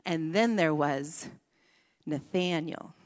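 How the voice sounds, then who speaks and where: A woman's voice: low voice, slow. A woman speaker telling a playful childhood story to a women's gathering before turning to scripture and prayer. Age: adult with a son. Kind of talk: sermon. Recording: echoey hall.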